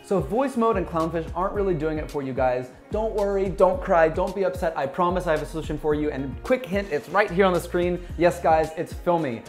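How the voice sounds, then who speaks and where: A man in his thirties with a slightly high-pitched voice narrates a software tutorial. He addresses viewers in an upbeat, scripted presenter style, with music playing behind him. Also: brisk, hardly any pauses. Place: small bright office, indoors. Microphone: lapel mic. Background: background music.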